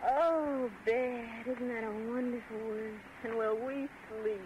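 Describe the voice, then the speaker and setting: An actress playing a young college student in an old radio horror drama, brisk, wisecracking and dismissive. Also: female voice, on the high side, steady tone, highs cut off, close to an everyday voice. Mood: dismissive, reassuring. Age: young adult. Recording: noisy vintage radio recording.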